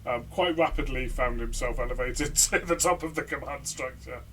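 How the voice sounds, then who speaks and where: A man's voice: medium pitch, moderate pace, fairly bright tone, far from the mic, slight background noise. A man chatting casually as a player and co-reviewer, tentative and often repeating his words as he goes.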